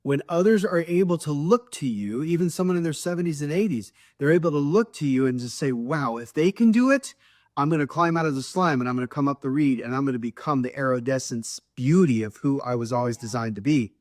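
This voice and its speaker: A man's voice: medium pitch, fairly quick, a little mellow, no echo, clean studio sound. A man co-hosting a spiritual talk show, speaking earnestly in a preachy way. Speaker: co-host.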